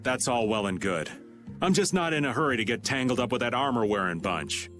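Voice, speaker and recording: A man's voice: mid-range voice, lively intonation, brisk, music in the background, natural voice. A man playing an easygoing but wary male adventurer in a video game, with wry, folksy phrasing. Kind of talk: video game cutscene voice acting.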